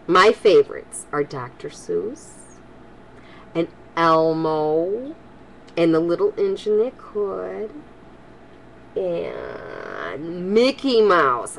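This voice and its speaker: An older woman, a grandmother, speaking to children in an expressive, homely storytelling style. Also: fairly low pitch, vocal fry, rough recording. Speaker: grandmother reading stories for children. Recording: home recording with faint background noise.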